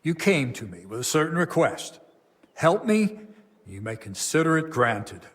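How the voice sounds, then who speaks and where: A grave, stern medieval abbot. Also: male voice, fairly high pitch, big pitch swings, measured pace, a bit bright. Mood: solemn pleading. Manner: grave, authoritative, formal. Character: stern, burdened abbot of a plague-struck medieval monastery. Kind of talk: dramatic video game cutscene acting.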